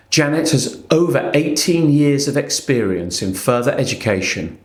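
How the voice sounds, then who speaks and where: A man hosting an interview, introducing the guest and asking questions. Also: mid-range voice. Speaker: podcast host, interviewer. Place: indoor room at a company. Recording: roomy echo.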